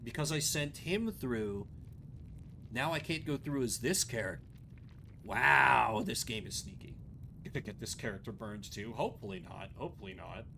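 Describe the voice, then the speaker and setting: A man's voice: medium pitch, animated, moderate pace, vocal fry, sharp tone, slight background noise. A man giving casual commentary as he streams his playthrough of a game.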